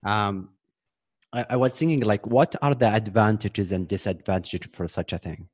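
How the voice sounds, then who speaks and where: A man hosting a podcast interview, talking in a relaxed way with some stumbles and "like" fillers. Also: fairly low pitch, moderate pace. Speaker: podcast host. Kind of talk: casual interview chat.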